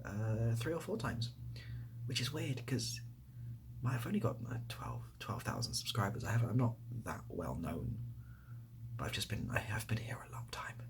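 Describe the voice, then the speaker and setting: A young man with an English accent chatting softly in an ASMR style, hesitant and rambling, often dropping to a near-whisper. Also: on the low side, expressive, moderate pace. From near Bath, England. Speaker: ASMR video creator. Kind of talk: softly spoken ASMR casual chat answering questions.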